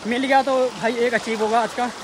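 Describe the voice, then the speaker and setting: Male travel vlogger, North Indian accent, high voice, colloquial and exclamatory, recorded outdoors with clear vehicle noise. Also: fairly even intonation, fairly quick. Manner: colloquial, exclamatory, addresses viewers often. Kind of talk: casual travel vlog narration to viewers. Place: outdoors, much of it on a moving motorbike. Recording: noisy outdoor action camera, vehicle and street noise.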